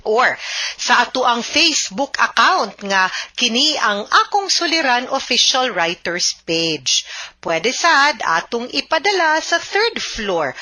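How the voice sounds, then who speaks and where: A woman's voice: on the high side, bright, forceful tone, no echo. A woman lawyer hosting a radio advice show, talking conversationally and mixing in English words.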